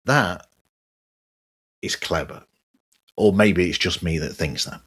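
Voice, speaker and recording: A man hosting a podcast monologue, giving his opinions in a conversational, emphatic way with a husky voice. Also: deep voice, slow, a bit bright. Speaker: podcast host. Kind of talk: podcast monologue, part scripted, reviewing other shows.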